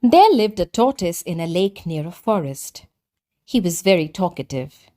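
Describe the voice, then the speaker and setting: A woman narrating a children's story as a read-aloud, with deliberate, simply phrased delivery. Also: on the low side, sing-song, dark tone.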